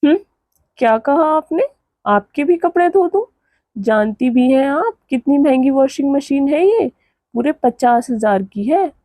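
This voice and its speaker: A woman's voice: sits high, animated, measured pace, warm tone, dry recording, clean studio sound. A woman voicing a haughty, boastful young daughter-in-law in a cartoon moral story, with a taunting, condescending manner. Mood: boastful, scornful. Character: haughty young city daughter-in-law flaunting her dowry.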